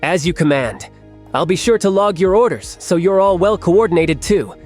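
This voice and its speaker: A man's voice: sits high, expressive, moderate pace, darkish tone, soft background music. A man voicing a sly robot henchman in a comic dub, wry and obsequious. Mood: fawning. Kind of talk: comic fan dub voice acting.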